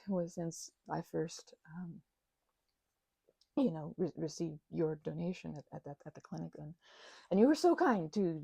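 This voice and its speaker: A woman voicing a timid, grateful, apologetic vampire in an intimate audio roleplay, stammering nervously, rambling and often dropping toward a breathy whisper. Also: on the low side, dark tone, no room sound. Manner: nervous stammering, halting and self-interrupting.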